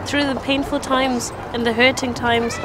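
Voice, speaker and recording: A young woman from Zimbabwe tells her faith story in a slightly high, level voice that hardly rises or falls. She speaks earnestly and evenly, with small restarts, while street and traffic noise runs clearly behind her outdoors. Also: little pitch movement, a little slow. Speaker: church testimony speaker. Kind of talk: personal faith testimony.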